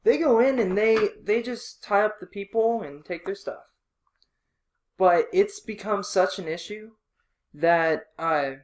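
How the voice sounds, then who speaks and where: Laid-back dude cohosting a podcast, pokes fun at himself, just chatting. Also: male voice, a little high. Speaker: podcast co-host. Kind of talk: casual chat.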